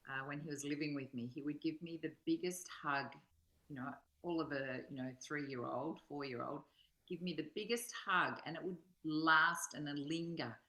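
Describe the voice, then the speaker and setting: A woman's voice: sits low, moderate pace, sharp tone. An older woman in a reflective, personal conversation as a study partner.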